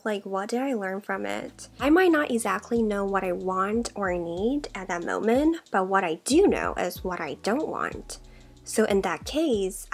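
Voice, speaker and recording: A woman in her twenties from Taiwan, whose first language is Mandarin Chinese, answering questions about herself in a soft-spoken vlog. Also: mid-range voice. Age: 20s. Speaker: vlogger. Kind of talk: get-to-know-me Q&A vlog. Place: bedroom with shelves, indoors. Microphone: desk condenser mic in front.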